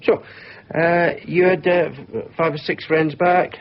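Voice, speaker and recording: A man's voice: on the high side, fairly even intonation, takes it slowly. Hesitant, polite Scottish man. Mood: polite, flustered. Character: polite, flustered male insurance assessor from Scotland. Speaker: insurance claims assessor. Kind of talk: naturalistic radio drama acting. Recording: muffled, noisy off-air radio recording.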